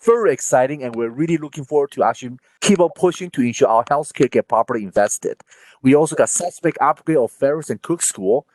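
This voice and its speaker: A man's voice: medium pitch, lively intonation, fast talker, a little mellow, dry recording, poor audio. A man giving an emphatic campaign speech as a candidate, lifting his voice on key points. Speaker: political candidate. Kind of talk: campaign speech at online panel.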